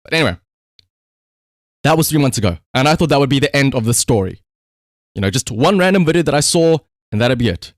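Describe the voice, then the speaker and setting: A man's voice: mid-range voice, expressive, quick pace, long pauses, dry recording, studio quality. A man livestreaming casual commentary, telling a story conversationally with rhetorical asides.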